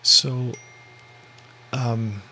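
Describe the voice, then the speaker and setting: A man's voice: mid-range voice, steady tone, drawn-out words, sharp tone, a little hiss or hum, rough recording. A male podcast host asking questions in a hesitant way, with many fillers and restarts. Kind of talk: casual interview.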